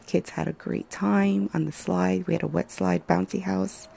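Hoarse, gravelly woman on a phone mic. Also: lowish voice, steady tone, moderate pace, mellow. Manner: rambling, hesitant, many fillers. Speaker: podcast host. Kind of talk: casual solo podcast chat.

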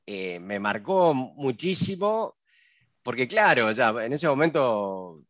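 Male Uruguayan consultant guest, mid-pitched, relaxed chat with many fillers, dry mic. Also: takes it slowly, dry recording. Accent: Uruguayan Spanish. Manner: chatty, with frequent fillers and tag questions. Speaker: guest, agile business consultant. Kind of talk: casual conversational interview.

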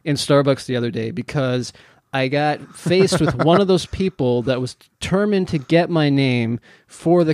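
Guy cohosting a podcast, low mumbly voice, rambles and restarts a lot, dry close mic. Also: darkish tone, no echo. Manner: rambling, self-mocking, many restarts. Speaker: podcast co-host. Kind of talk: casual podcast chat.

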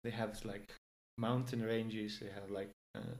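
Low-voiced Greek man, hesitant speech. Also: steady tone, measured pace, darkish tone. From Greece. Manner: hesitant, many fillers and restarts. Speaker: guest. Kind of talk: casual interview in English.

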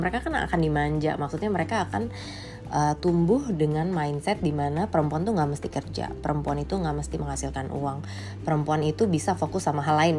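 A woman's voice: low-pitched, dark tone. A woman in her late twenties from Indonesia giving a casual, candid monologue, blunt and slangy, with English phrases mixed in. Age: late 20s.

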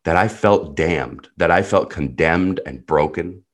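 A man's voice: low voice, measured pace. A man, a native Hebrew speaker who grew up in the US, telling his life story as a podcast guest in an earnest, reflective and blunt way. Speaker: podcast guest, personal guide. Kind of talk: candid personal storytelling.

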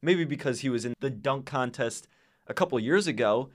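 A young man hosting a casual sports podcast, introducing segments in a measured way, with a touch of sarcasm. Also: medium pitch, lively intonation. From Arizona. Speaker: podcast host.

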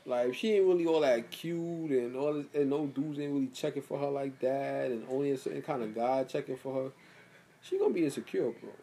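A man in his thirties in casual chat as a podcast co-host, talking in loose slangy bursts. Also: a little fast. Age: 30s. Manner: laid-back slangy talk, lively pitch swings.

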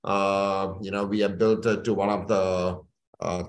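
A man's voice: deep voice, little pitch movement, unhurried. A man from India speaking conversationally and enthusiastically as a chief executive being interviewed, often repeating phrases for emphasis. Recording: echoey room.

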